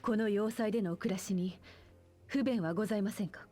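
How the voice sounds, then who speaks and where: A woman's voice: mid-range voice, racing, mellow, faint music behind, lightly acted. Formal, loyal female military officer, voiced by an actress. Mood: formal, deferential. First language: Japanese. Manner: formal, deferential. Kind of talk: Japanese game voice acting.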